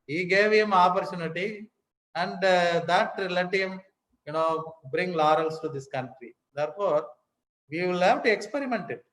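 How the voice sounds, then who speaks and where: A man's voice: high voice, fairly bright tone. Emphatic Indian man in an echoey hall. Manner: emphatic, repeats key words, many pauses. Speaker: academic speaker. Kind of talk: formal lecture.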